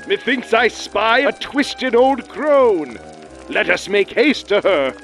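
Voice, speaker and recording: An actor playing a pompous, hearty crusader knight, declaiming in mock-archaic old-time radio style. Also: male voice, sits high, very animated, slow pace, a bit bright, soft background music, heavily acted. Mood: hearty, grandiose. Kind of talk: parody 1940s radio play acting.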